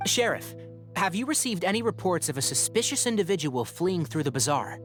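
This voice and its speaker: A level-headed young scholar in an anime game. The delivery is articulate and reasoning, with very varied intonation over background music. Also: male voice, high voice, big pitch swings, brisk. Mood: alert. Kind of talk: anime video game voice acting.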